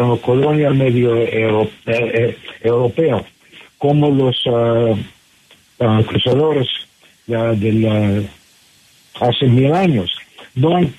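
Slow, hesitant man. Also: medium pitch, a little hiss or hum, poor audio. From Michigan, USA. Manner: hesitant, many fillers and restarts, searching for words. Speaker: ambassador, interview guest. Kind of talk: halting interview answers in Spanish.

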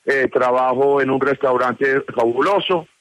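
A Colombian man in his sixties, interviewed on the phone, talking with many pauses and fillers. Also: mid-range voice, fairly bright tone. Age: 60s. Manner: hesitant, many fillers and restarts.

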